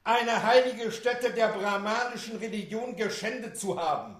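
An actor in a German radio play playing an officious, fussy court clerk making formal announcements. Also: male voice, high-pitched, animated, moderate pace, a bit bright. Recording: echoey courtroom.

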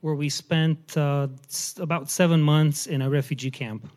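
A middle-aged man, born in Romania, giving a personal testimony in church, halting and self-deprecating with many fillers. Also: on the high side, steady tone, darkish tone.

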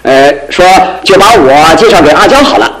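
A man acting in a Chinese radio drama as an excitable, lovestruck, boastful office clerk, with an animated, exclamatory delivery. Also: a little high, normal speed, a crowd in the background, lightly acted, poor audio. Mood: bashful, chatty.

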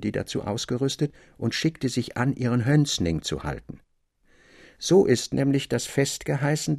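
A man reading a literary story as narrator, articulate and expressive in scripted audiobook style. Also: mid-range voice, creaky, no echo, clean studio sound.

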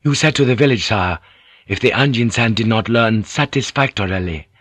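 A man voicing a confident samurai counselor, measured, deferential and persuasive, in an audiobook story. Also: on the low side, a bit bright, breathy edge, no echo, studio quality.

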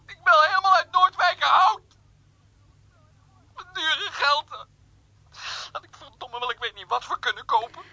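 A man's voice: very high-pitched, lively intonation, measured pace, long pauses, pushed and bright, no room sound, heavily acted, poor audio. An actor playing a pushy, jealous, petulant jilted man who stammers and repeats his demands through a telephone filter. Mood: angry, bossy.

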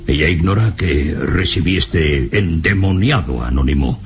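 A nervous, protective professor with a rumbling, gravelly voice. His worried, hesitant delivery swings dramatically up and down, in the manner of an old radio serial. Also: animated, unhurried, over music, full character voice. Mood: uneasy. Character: anxious, fearful male professor, protective guardian.

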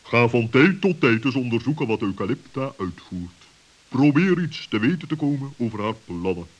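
A man playing a cautious, wise owl with a deliberate, pompous way of speaking in a children's radio play. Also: medium pitch, sing-song, highs cut off. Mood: advising.

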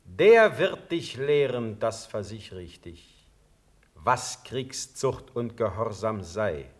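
A man's voice: fairly low pitch, sing-song, takes it slowly. An actor playing a stern, proud male sovereign in a classical radio drama, with a commanding, formal declamation. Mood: exasperated, commanding.

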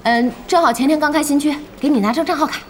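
A woman playing a lively, exasperated young gamer in an audio drama, expressive, emphatic and chatty. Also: high voice, fast, a little hiss or hum, lightly acted. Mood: explaining. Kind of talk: animated Chinese audio drama acting. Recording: music bed under the dialogue.

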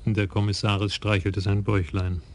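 A man narrating a radio crime drama in an even, measured storytelling manner. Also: lowish voice.

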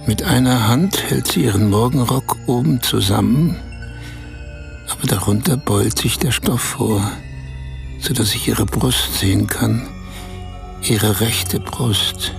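An actor playing a man in a radio play who confides a strange, embarrassing adventure in short, halting phrases. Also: medium pitch, gravelly, soft background music. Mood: embarrassed, confiding. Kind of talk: radio play, intimate first-person storytelling.

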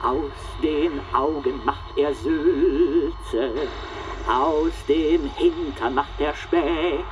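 An eerie murderer performing in a radio play. The voice is fairly high for a man and drawn out to an extremely slow, halting pace, with music behind it. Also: lo-fi sound. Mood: macabre, playful. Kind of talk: radio drama, chanted rhyme and hesitant talk.

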